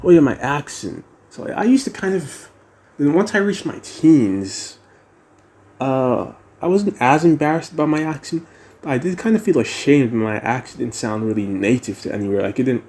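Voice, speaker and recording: A man's voice: mid-range voice, animated, moderate pace, darkish tone. A teenage boy with a mixed American and British accent, rambling in a casual monologue, hesitant and musing, with many restarts. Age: teens. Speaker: vlogger.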